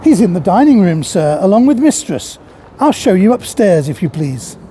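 Young maid voiced by a male reader, polite, soft, mellow tone. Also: high-pitched, big pitch swings, moderate pace, slight background noise. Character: polite young housemaid, deferential.

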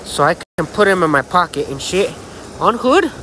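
An American man with a notably high voice rambles casually in slang and swearing, full of swagger. He is talking into a phone outdoors, with light ambient noise behind him. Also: big pitch swings, moderate pace. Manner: slangy, profane, boastful, with repeated catchphrases. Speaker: vlogger. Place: outdoors on a dirt path by a canal. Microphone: phone held at arm's length.

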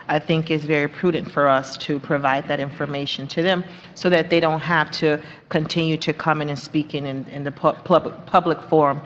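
A woman's voice: sits low, slight background noise. A woman serving as vice mayor, politely agreeing and asking for the information to be shared. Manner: polite, measured. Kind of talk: council remarks. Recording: dull meeting audio with long hall reverb.

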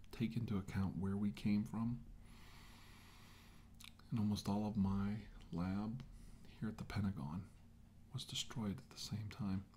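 A middle-aged man playing a grim, remorseful military scientist in an ASMR drama, speaking in hushed, halting phrases that often drop to a whisper. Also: low voice. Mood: shaken. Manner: hushed, halting, slow delivery in short phrases. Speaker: ASMR role-play actor. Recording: hushed voice over clear background noise.

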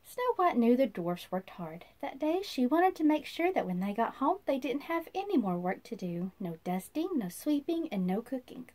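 A woman in her thirties reading a children's storybook aloud in an expressive, sing-song manner, as if to young listeners. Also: sits high, moderate pace, hardly any pauses. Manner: sing-song, animated, asks listeners questions. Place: small indoor room.

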